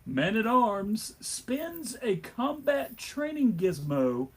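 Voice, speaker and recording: A man's voice: sits high, sing-song, slow pace, husky. A man acting as panelist and narrator of a comic book table read, with theatrical, emphatic delivery.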